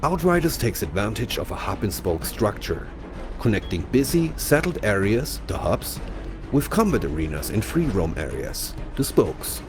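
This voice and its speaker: A man presenting a game showcase in scripted, measured, explanatory promotional narration. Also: on the low side. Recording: voice-over with clear music behind.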